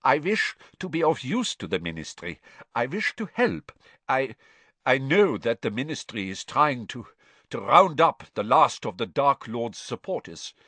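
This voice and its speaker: A man voicing a frightened, unctuous middle-aged male prisoner who pleads in a hurried, breathless way. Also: mid-range voice, very animated, pushed and bright, no echo, voice pushed up, full character voice. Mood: frightened pleading.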